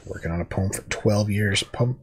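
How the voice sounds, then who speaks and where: A man's voice: on the low side, normal speed, a little hiss or hum. A man co-hosting a movie reaction, tossing off wry, casual asides.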